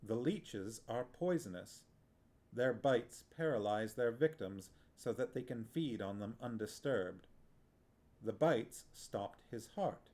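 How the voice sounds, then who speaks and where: A man's voice: medium pitch, slow pace. A man voicing a cold, commanding ancient sorceress. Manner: cold, flat and cutting.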